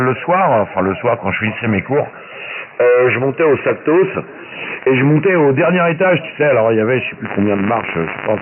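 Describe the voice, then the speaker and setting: A man chatting casually as an amateur radio operator, colloquial and boastful, repeating phrases for emphasis, his voice coming through shortwave radio. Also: mid-range voice. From Paris, France. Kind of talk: casual radio chat, anecdotes. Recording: very noisy narrowband shortwave radio transmission. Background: loud radio static.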